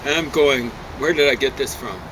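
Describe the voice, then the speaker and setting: Older man over loud traffic noise. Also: mid-range voice, moderate pace. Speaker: book reviewer. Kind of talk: casual opinionated chat.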